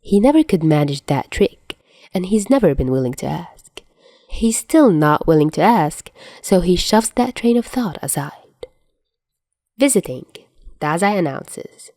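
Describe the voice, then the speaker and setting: A woman reading a story aloud as an audiobook narrator, in an expressive scripted style. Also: on the low side, measured pace, no echo. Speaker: fan fiction audiobook narrator.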